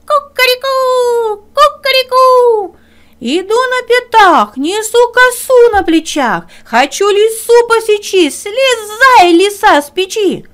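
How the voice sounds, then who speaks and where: Woman doing a shrill, bold crowing rooster. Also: lively intonation, slow, full character voice. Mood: commanding. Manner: loud, chanting, commanding.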